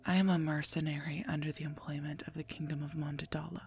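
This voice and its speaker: A woman voicing a sardonic female werewolf knight and mercenary bodyguard in an ASMR roleplay, husky and gravelly, dry and curt. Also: low voice, steady tone, fast talker, a little mellow, slight background noise. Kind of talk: scripted ASMR roleplay, addressed to listener.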